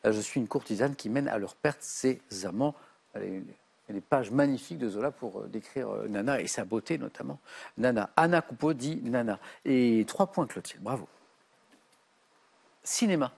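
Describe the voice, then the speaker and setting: A middle-aged man hosting a TV quiz show, reading clues briskly and giving quick verdicts. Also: medium pitch, vocal fry, darkish tone, slightly rough. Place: large TV studio set.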